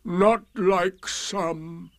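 A man voicing a gloomy old grey donkey from a storybook, with a mournful, drawn-out, heavily resigned delivery. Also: high-pitched, steady tone, fairly bright tone, pitched above the performer's own voice, full character voice.

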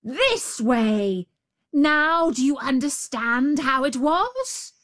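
A woman's voice: fairly high pitch, big pitch swings, very slow, voice pushed up, full character voice. A woman voicing a savage male tiger in a storybook, growling, bullying and domineering. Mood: exasperated rage. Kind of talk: storybook character voice.

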